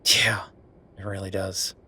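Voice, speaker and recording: Man playing a low-voiced, weary werewolf boyfriend. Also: little pitch movement, pushed and bright, husky, full character voice. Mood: tired, frustrated. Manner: low-key, sardonic, halting, sighing. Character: weary, wry younger werewolf boyfriend working late. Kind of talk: intimate roleplay audio drama.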